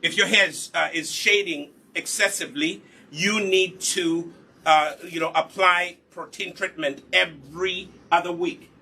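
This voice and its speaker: Bright, husky man teaching hair care. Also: high-pitched, fairly even intonation, measured pace. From Uganda. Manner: patient and instructive, asks rhetorical questions then answers them. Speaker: hair care instructor and product maker. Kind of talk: live online hair care demonstration.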